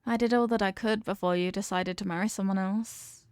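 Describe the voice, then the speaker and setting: An evil queen, played by a woman in a scripted audio roleplay, speaks with formal, measured authority. She is possessive and obsessively in love, with menace veiled under courtly manners. The sound is dry, with very little room in it. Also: mid-range voice, fairly even intonation, darkish tone, clear, resonant tone, dry recording, close to an everyday voice. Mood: solemn devotion. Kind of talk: scripted roleplay monologue, ASMR audio drama.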